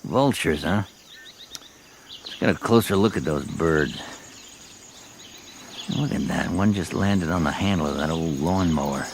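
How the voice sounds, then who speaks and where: An actor playing a wry, bantering American adventurer in a naturalistic radio drama, musing and wisecracking. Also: male voice, low voice, measured pace, creaky, long pauses, a little hiss or hum. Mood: disgusted musing. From United States. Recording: radio play with background ambience.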